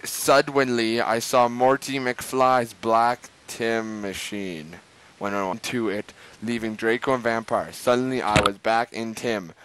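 A man's voice: medium pitch, steady tone, takes it slowly, fairly bright tone, a little hiss or hum, poor audio. A man hosting a dramatic reading of fan fiction, theatrical and stumbling over words. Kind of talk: mock-dramatic reading aloud.

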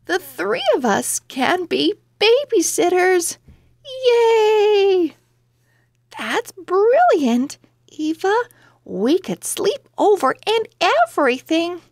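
A woman's voice: very high-pitched, very animated, drawn-out words, a little mellow, no echo, clean studio sound, voice pushed up, exaggerated voice. A woman voicing an eager, bubbly young girl owl from a children's storybook, with emphatic delivery. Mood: eager, excited.

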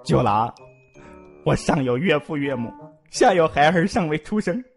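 A man's voice: fairly high pitch, expressive, normal speed, faint music behind. A man voicing a talkative, joking young male physician who gossips and pleads comically in a multi-cast audio drama. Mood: panicked. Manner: bantering, giggly, exaggerated pleading.

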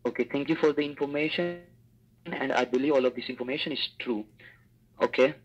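A man's voice: medium pitch, a little fast, slightly rough. A man on a phone call asking scripted official questions in an insistent, officious way. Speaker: phone scammer posing as an official.

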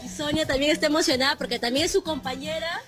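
Peruvian woman field reporter, really high voice, live outside, faint music. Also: fast. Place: outdoors, outside TV studio building. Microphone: handheld microphone.

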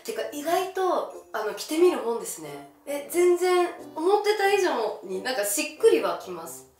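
A woman's voice: high voice, very animated, moderate pace, darkish tone. A young woman chatting casually to camera as she presents, with an exclamatory, bashful manner. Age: young adult. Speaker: gravure idol presenting outfits. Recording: roomy echo from camera distance. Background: faint music.

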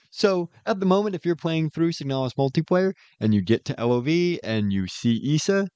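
A man's voice: mid-range voice, a little mellow, no room sound. A man giving an informal voice-over progress update as a game mod developer, recorded close on a slightly dull microphone.

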